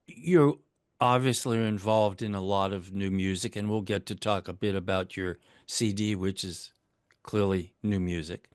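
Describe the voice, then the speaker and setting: A man with a fairly low voice puts short, friendly questions to his guest. He is heard through a video call. The sound is dry, with no room around it. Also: fairly even intonation, unhurried, clear, resonant tone, dry recording. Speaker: interviewer and producer. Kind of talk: casual video-call interview.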